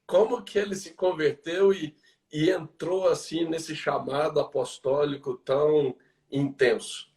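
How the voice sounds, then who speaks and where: A man's voice: a little high, steady tone, measured pace, a little mellow. A man interviewing a pastor online, mostly giving short affirming interjections. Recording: echoey, distant call audio.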